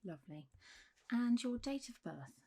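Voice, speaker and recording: A woman playing a calm, reassuring doctor in a soft-spoken ASMR roleplay, gently drifting in and out of whispers. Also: on the high side, measured pace, full character voice. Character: calm, reassuring female clinic doctor for zombie patients. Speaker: ASMR performer.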